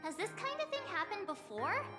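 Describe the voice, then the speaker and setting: Very high, childlike game companion, reverberant. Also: female voice, sing-song, normal speed. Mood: teasing, amused. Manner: exclamatory, informal, teasing. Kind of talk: scripted video game dialogue. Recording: long reverb with clear music behind. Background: music.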